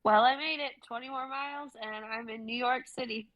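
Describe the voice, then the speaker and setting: A woman's voice: fairly high pitch, fairly even intonation, fairly quick, dry recording, lo-fi sound, pitched above the performer's own voice, natural voice. A woman reading the part of an anxious, searching young woman traveler in a stage play, hesitant and earnest. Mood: lonely, tentative. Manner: hesitant, earnest, self-dismissing.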